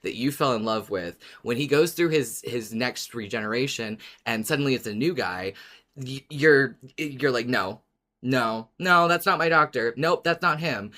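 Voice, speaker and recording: A podcast co-host chatting casually in a giggly, animated way, often stopping to correct and restart a story. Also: medium pitch. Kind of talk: casual fan podcast chat.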